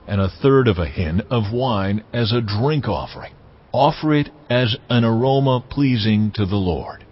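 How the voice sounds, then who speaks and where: An actor playing God as a stern, commanding lawgiver, delivering solemn decrees deliberately in a dramatized scripture reading. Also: male voice, medium pitch, breathy edge, lo-fi sound. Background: background music.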